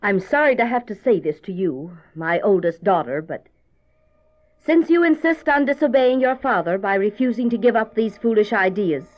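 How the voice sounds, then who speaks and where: An actress playing a middle-aged mother, formal, measured and firm, in an old-fashioned radio drama style. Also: medium pitch, animated, close to an everyday voice. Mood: stern, regretful. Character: proper, measured mother of grown daughters, dutiful but questioning. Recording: dull lo-fi cassette radio drama.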